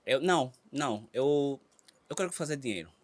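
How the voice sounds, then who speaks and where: A man from Angola speaking Portuguese with an Angolan accent, a comedian talking casually on a podcast who repeats himself and jumps high and loud when he tells a story. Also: normal speed. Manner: animated, repeats words, bursts high and loud when telling stories. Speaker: comedian guest.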